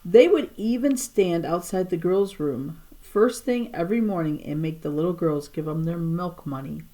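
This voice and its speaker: A woman, a teacher reading aloud, giving expressive storybook narration for children. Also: lowish voice, moderate pace, rough recording.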